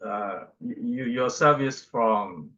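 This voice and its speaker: A man's voice: mid-range voice, slow, pushed and bright. A man interviewing in broken English, asking questions and checking with tags. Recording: dull lo-fi audio.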